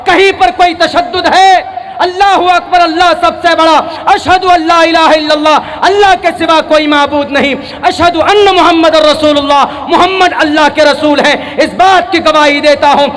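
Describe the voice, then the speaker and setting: Very high, forceful male preacher in heavy reverb. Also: steady tone, normal speed, a faint crowd. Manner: emphatic, declamatory, repeats phrases for stress; mixes scripture recitation and English words into his address. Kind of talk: fiery sermon oratory to a crowd. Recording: noisy, long-reverb public address sound.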